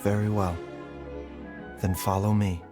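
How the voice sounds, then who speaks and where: An actor playing a composed, courteous male doctor in a game, speaking in a calm, formal and precise way. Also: on the low side, unhurried, music in the background, close to an everyday voice. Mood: measured. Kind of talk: game voice acting.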